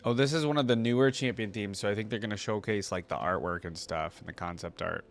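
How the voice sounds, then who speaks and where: A man's voice: fairly low pitch, fast, slight background noise. A young man hosting a casual reaction video with laid-back, chatty, appreciative commentary.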